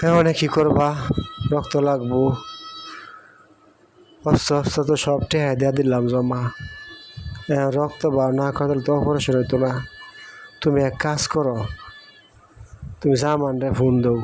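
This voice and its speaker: A man's voice: medium pitch, level tone, normal speed, long pauses, darkish tone, slight background noise, natural voice. A man playing a worried rural father in a cartoon moral story, talking colloquially with a Mymensingh Bengali accent. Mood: worried, urgent. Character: worried rural father seeking help for his son.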